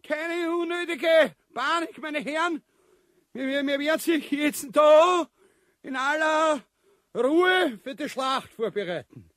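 Shrill, blustering Viennese buffoon king. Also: animated, takes it slowly, a bit bright, no room sound, studio quality, heavily acted. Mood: blustering command. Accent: Viennese Austrian. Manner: ranting, swearing, blustering with stammered repeats. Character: cowardly, grumbling, pompous buffoon king leading an army. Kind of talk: farcical radio play acting. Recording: music behind the voice.

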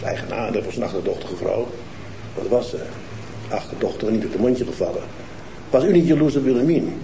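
An actor playing a stern police detective who questions suspects insistently. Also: male voice, medium pitch, animated, fairly quick, gravelly, warm tone, slight background noise. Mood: pressing. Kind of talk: TV crime drama acting. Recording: roomy TV soundtrack with music.

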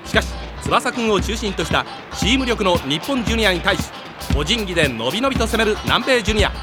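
A man playing an excitable play-by-play soccer announcer in an anime, building up each play dramatically. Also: high-pitched, fast, over music. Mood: eager, building. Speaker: sports commentator. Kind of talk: anime sports commentary.

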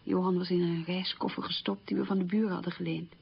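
Husky, creaky-voiced middle-aged wartime survivor. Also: female voice, lowish voice, little pitch movement, quick pace, heavily acted. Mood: grave, bitter. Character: middle-aged woman bitterly recalling wartime hunger. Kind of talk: radio drama monologue, testimonial recollection. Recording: dull, muffled old radio recording.

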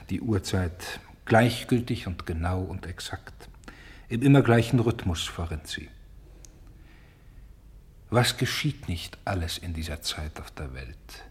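A man playing a solemn narrator who embodies Time in a radio drama, with a husky voice and a grave, incantatory delivery. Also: low voice, a little mellow. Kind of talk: scripted literary narration.

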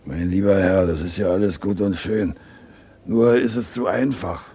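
A cocky small-time burglar in a vintage radio crime drama. His voice is low and gravelly with a husky rasp, and he talks in cheeky streetwise slang. He sounds rough and sly. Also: steady tone, moderate pace, dark tone.

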